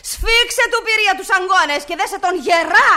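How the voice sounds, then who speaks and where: A woman's voice: very high voice, bright, forceful tone. An actress playing a jealous, domineering rich wife who mocks and scolds her husband in a radio drama. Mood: furious. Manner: scolding, mocking, commanding.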